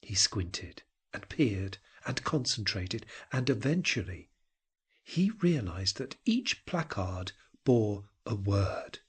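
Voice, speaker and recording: Husky low-voiced man narrating a dark story. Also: sing-song, slow. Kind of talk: dramatic scripted narration of a dark short story.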